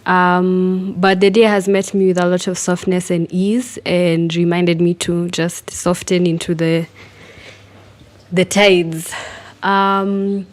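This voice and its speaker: A woman speaking candidly and reflectively as she shares personal thoughts with a group. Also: lowish voice. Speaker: writer and researcher.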